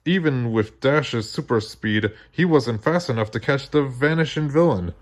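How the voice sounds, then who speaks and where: A man narrating a children's story aloud in an expressive read-along style. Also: mid-range voice, moderate pace. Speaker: storybook reader.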